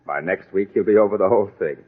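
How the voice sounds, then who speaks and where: An actor playing a dry, sardonic middle-aged father, deadpan and formal, in a 1940s radio comedy. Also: fairly low pitch, fast talker, breathy edge. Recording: thin, lo-fi old radio recording.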